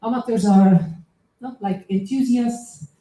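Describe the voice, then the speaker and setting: A woman from Estonia with a very dark, mellow voice gives an academic conference talk in English, often halting on fillers and restarting her sentences. The sound carries a roomy echo from a lecture hall. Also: a little high, fairly even intonation. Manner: hesitant, many fillers and restarts. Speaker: associate professor, conference speaker. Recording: echoey hall.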